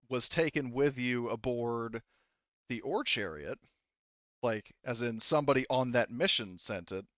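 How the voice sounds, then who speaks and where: A man speaking casually as a game master, narrating in a halting, hedging way with the odd swear word, on slightly lo-fi audio. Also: mid-range voice, fairly bright tone, dry recording.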